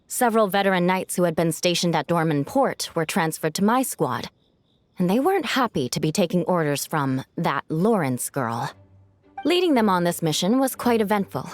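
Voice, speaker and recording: A woman playing a proud, composed young knight captain in a video game, speaking in a measured, formal way with dry wit. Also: on the low side, lightly acted. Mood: composed, recounting. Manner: measured, formal, dryly wry. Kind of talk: video game character dialogue. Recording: studio voice over game music.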